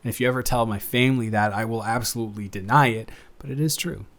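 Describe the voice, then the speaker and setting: A man's voice: medium pitch, fast, fairly bright tone, close to an everyday voice. A man playing a shy, affectionate roommate in an improvised intimate audio roleplay, speaking in a hesitant, rambling way with stammers. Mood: fond, sincere. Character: shy, affectionate male roommate confessing to best friend.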